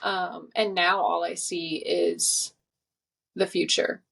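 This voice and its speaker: A woman's voice: fairly low pitch, flat delivery, slow pace, vocal fry, fairly bright tone, breathy edge. A woman in her twenties, a podcast guest, talking earnestly and reflectively in casual conversation. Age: 20s. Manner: reflective, earnest, many fillers.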